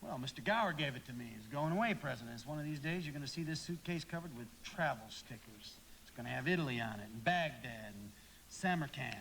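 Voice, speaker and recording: Creaky-voiced, restless young man. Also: medium pitch, animated, brisk, a bit bright, a little hiss or hum, poor audio. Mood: eager, restless. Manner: folksy, boyish, hesitant. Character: restless, affable young small-town man dreaming of travel. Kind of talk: live radio play acting.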